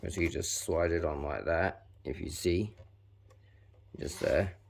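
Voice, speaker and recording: A 19-year-old New man forcing his voice low on purpose, so it comes out very deep with a gravelly rasp. He talks casually and loosely through a hands-on task, with plenty of fillers. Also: a little mellow. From New Zealand. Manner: deliberately putting on an extra-deep voice; chatty, filler-heavy, talks while working with his hands. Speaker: vlogger. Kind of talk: casual how-to narration while working.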